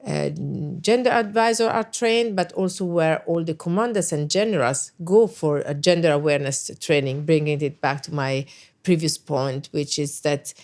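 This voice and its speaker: Woman, middle-aged, low voice, lots of uh and restarts while she answers. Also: moderate pace, darkish tone. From Italy. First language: Italian. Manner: thoughtful, many hesitations and restarts. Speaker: guest, NATO special representative. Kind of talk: interview answers.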